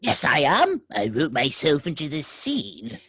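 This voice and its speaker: A woman voicing an eager, pleading male ghost in a radio play, husky and excitable. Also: deep voice, a little slow, no echo, studio quality, exaggerated voice. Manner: wheedling, excitable.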